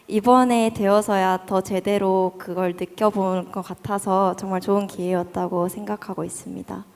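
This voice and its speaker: A woman from Korea with a Korean accent, speaking spontaneously as a guest on a live panel. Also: medium pitch. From South Korea. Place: hall on a stage, live event. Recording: echoey hall sound.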